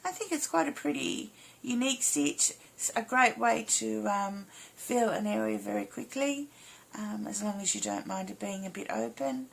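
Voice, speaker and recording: A woman with a slightly hoarse, croaky voice giving a casual step-by-step craft tutorial in short, informal phrases as an instructor. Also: medium pitch, normal speed, hardly any pauses. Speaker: embroidery instructor.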